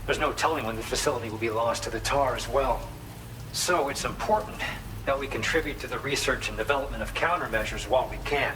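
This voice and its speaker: Actor as friendly researcher over loud background noise. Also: male voice, medium pitch, quick pace, recorded from a distance, natural voice. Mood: earnest. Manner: explanatory, chatty. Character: earnest male researcher at a remote observatory, friendly. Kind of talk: video game dialogue.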